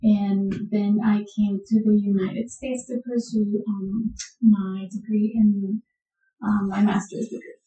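A woman from Colombia, a health educator, answering interview questions in a measured, thoughtful way with many pauses. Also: mid-range voice, little pitch movement, warm tone. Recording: dull, echoey, distant sound.

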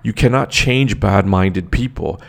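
Gravelly-voiced man hosting a commentary show. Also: lowish voice, unhurried. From Canada. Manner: emphatic, many fillers and restarts. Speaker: podcast host. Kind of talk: opinionated solo commentary. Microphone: desk microphone.

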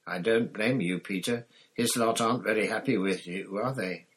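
A man's voice: on the low side, moderate pace, fairly bright tone, husky. A man reading an audiobook, voicing a bitter escaped prisoner who hisses venomous accusations. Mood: accusing. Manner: venomous, hissing, growling accusations.

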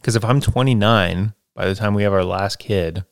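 Relaxed young man, rambling reflective talk. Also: lowish voice, moderate pace, no echo, clean studio sound. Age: 20s. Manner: rambling, reflective, lots of fillers and tag questions. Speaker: podcast host. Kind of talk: casual solo podcast monologue.

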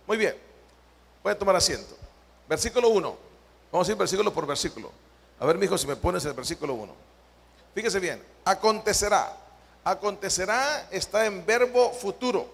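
A Salvadoran man preaching a Bible study as pastor, with slow, emphatic delivery that stretches key words and puts questions to the congregation. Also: on the high side, vocal fry, fairly bright tone. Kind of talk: sermon. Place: church hall. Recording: long church-hall reverb.

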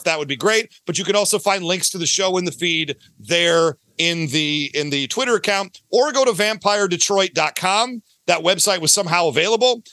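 A man's voice: high-pitched, sharp tone, dry recording. A talkative, enthusiastic man chatting casually as a podcast guest, promoting his own work in a self-deprecating way. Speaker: podcast guest, audio drama creator.

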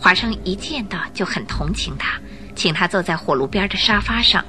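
A woman narrating a scripted Chinese radio drama in an expressive storytelling style. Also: mid-range voice, moderate pace, soft background music.

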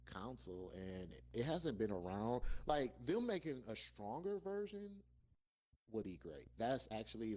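A man's voice: lowish voice, a little mellow. A man co-hosting a podcast, talking casually and bluntly about video games in an informal, joking way. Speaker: podcast co-host. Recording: audible hum and background noise.

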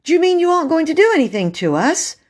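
A woman's voice: on the high side, animated, a little slow, voice pushed up. Lady doing a stubborn little storybook boy, huffy n earnest, proud, voice goes up and down loads. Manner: earnest, self-justifying, huffy. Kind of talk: storybook character voice.

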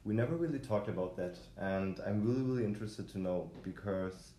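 Male podcast host, low warm tone, rambling delivery full of fillers. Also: brisk, echoey room. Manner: rambling, many fillers and restarts. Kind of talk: casual conversational podcast.

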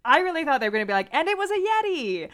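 A woman's voice: sits high, sing-song, rapid-fire, a bit bright. Wry woman recapping a book. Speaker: podcast host. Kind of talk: casual book discussion with plot recap and read-out passages.

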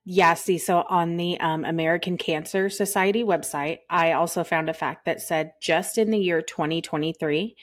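A woman from Texas, co-hosting a podcast in a rambling, casual way with lots of fillers. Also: fairly low pitch. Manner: rambling, laughs often, many fillers. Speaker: podcast co-host.

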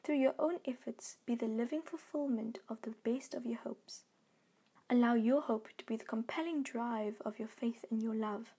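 A woman reading a scripted devotional message in an earnest, measured, encouraging way. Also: a little high, mellow, breathy edge. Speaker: devotional reader.

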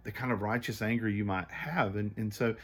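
Man, 30s, low and earnest, halting co-host. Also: steady tone. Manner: earnest, searching for words, many repeats. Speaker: podcast co-host. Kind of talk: casual faith conversation.

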